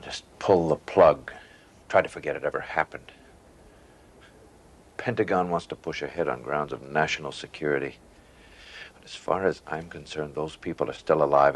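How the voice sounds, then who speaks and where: An actor playing a grave, protective general who speaks with earnest command in a science fiction television drama. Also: male voice, rumbling low, normal speed, husky.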